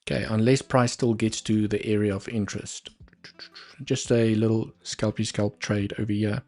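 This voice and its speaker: A man's voice: lowish voice, little pitch movement, normal speed, darkish tone. A man with a South African accent and Afrikaans as his first language, hosting a live trading stream and thinking aloud over the charts with muttered asides. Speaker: livestream trading host. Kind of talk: live market analysis commentary.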